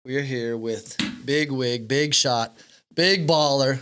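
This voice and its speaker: A man's voice: medium pitch, slow, background noise. A middle-aged man hosting a casual podcast, joking and ribbing his guest. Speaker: podcast host. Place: podcast studio in California.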